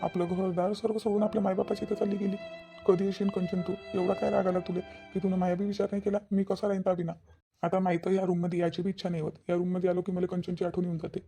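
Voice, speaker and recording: Man playing a mellow, quick lovesick Varhadi husband. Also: high-pitched, flat delivery, gravelly, breathy edge, faint music behind, full character voice. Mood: lovelorn, pining. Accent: Varhadi Marathi from Vidarbha. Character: lovesick, dutiful young husband soothing his mother. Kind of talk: cartoon family drama dialogue.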